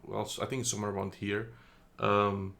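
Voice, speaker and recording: A man's voice: fairly low pitch, level tone, fairly quick, creaky, pushed and bright. A man from the Netherlands giving a casual product review, chatty and hesitant, with many ums and self-corrections, recorded on a phone indoors. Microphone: phone camera.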